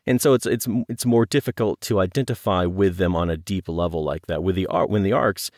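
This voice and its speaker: A man's voice: sits low, brisk, a little mellow, no room sound, studio quality. A man talking conversationally as an interview guest, thinking aloud and circling back to rephrase his points. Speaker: guest, audio drama producer.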